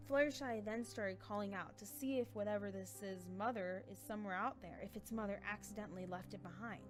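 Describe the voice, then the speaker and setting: Female narrator, mid-pitched, scripted storytelling with soft background music. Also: brisk.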